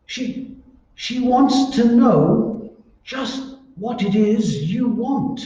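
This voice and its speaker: Man acting a timid, stammering, high-voiced husband. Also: animated, extremely slow, mellow, heavily acted. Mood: meek, nervous. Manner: stammering, hesitant, meek. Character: timid, henpecked, frightened husband. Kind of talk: old-time radio drama acting. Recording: very echoey, muffled, distant room recording.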